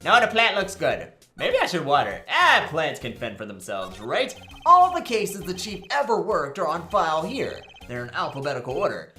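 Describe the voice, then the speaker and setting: Game fandub lead: man as quippy young male lawyer, earnest, sarcastic, lively pitch movement, music underneath. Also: normal speed, few pauses, full character voice. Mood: musing. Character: wisecracking young defense attorney, earnest and incredulous. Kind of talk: visual novel game fandub acting. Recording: music behind the voice.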